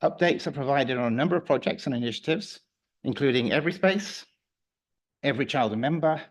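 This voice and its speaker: A man with a slightly croaky voice giving a formal report to a council committee, measured and a little hesitant. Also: medium pitch. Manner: measured, with some fillers and restarts. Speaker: council officer presenting a report. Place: council meeting room.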